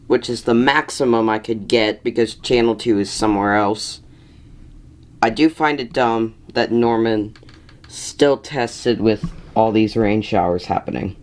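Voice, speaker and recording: A man giving casual commentary in a wry, mildly exasperated way, as a hobbyist narrating a video. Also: fairly low pitch.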